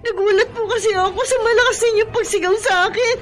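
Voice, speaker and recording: Mistreated young daughter: very high voice, meek and pleading, radio drama melodrama. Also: female voice, steady tone, quick pace, a little hiss or hum. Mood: tearful, frightened. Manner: pleading, plaintive. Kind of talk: Filipino radio drama acting.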